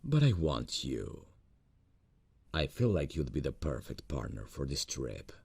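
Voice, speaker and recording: A man voicing a gentle, earnest boss in an ASMR roleplay, talking softly and slowly into a close mic. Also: wildly swooping pitch, dry recording, full character voice. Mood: tender. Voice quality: deep gravelly voice. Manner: soft, intimate, slow and earnest.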